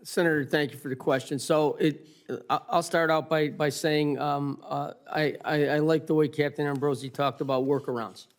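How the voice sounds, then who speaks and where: An American man giving unscripted formal testimony as a witness, with halting starts and repeated words. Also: fairly high pitch, fairly even intonation. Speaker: technicians' representative testifying as witness.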